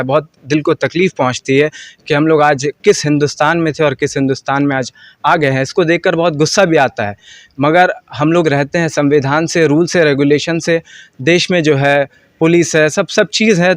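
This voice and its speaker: A man's voice: a little high, no room sound. An Indian man earnestly giving informal political opinions in an interview, leaning on repeated filler phrases. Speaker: street interviewee.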